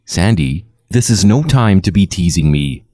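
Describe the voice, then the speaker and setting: Casting: man playing indignant young 1800s seamstress-supervisor in a storybook, snappish and curt, expressive pitch swings. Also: measured pace, dry recording, studio quality. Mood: exasperated. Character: irritated, no-nonsense young woman supervisor in 1800s. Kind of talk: storybook character voice in narration.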